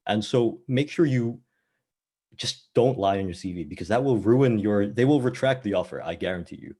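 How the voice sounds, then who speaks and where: Man, grew up in the Middle East, fairly low voice, relaxed, hesitant, panel advice. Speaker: panel speaker, hiring interviewer. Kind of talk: casual advice talk.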